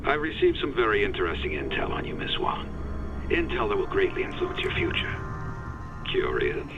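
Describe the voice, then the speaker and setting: An actor playing a suave, scheming middle-aged male villain in a video game, speaking with deliberate, insinuating smoothness. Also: low voice, wildly swooping pitch, muffled recording, music in the background, full character voice. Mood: sly, taunting.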